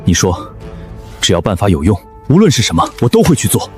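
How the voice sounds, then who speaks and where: A low-pitched man's voice with big swings. He is a resolute squad leader in an animated drama, firm and urgent, with light music behind him. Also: brisk. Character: commanding, resolute male team leader under pressure.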